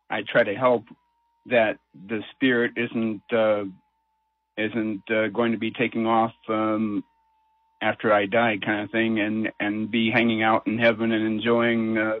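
A man's voice: fairly low pitch, dry recording. A man calling a radio show with a question, talking hesitantly and searching for his words. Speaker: caller. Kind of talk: phone-in question. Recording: tinny phone line.

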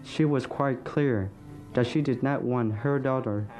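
A man's voice: medium pitch, unhurried, a little mellow, faint music behind, poor audio. A man reads a church planter's testimony as a scripted voice-over in a plain, sincere way.

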